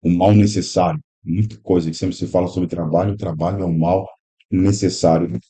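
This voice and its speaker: A man's voice: low-pitched, steady tone, fairly quick, dark tone. A man in his thirties, a pastor and teacher, explaining his ideas reflectively in a podcast conversation, with many "né?" tags. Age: 30s. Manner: reflective, explanatory, speaks in long run-on phrases with frequent "né?". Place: improvised room at a pastors' convention.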